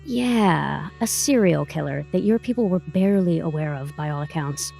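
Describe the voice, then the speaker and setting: An actress playing a brash, self-assured lawyer with breezy, theatrical sarcasm in an audio drama. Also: female voice, low-pitched, moderate pace, creaky, warm tone. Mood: breezy, sarcastic. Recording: faint music behind the voice.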